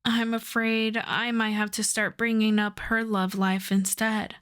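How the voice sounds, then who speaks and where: Slow-talking, coaxing supervillain played by a woman. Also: a little high, level tone, a bit bright, clean tone. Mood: teasing. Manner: unhurried, teasing, conversational. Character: infamous female supervillain, wry, coaxing, flirtatious costume client. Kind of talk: intimate ASMR roleplay audio drama.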